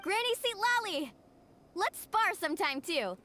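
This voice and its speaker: Eager young warrior girl, squeaky-high, bright, boisterous, game character. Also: sing-song, unhurried, heavily acted. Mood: feisty. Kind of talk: video game voice acting.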